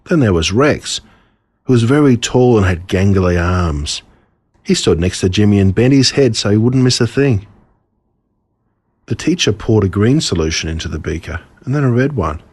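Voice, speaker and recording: An Australian man reading a scripted children's storybook in a dramatic, deliberate way, relishing the gruesome parts. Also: on the low side, expressive, darkish tone, husky. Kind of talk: scripted storybook narration told as a boy.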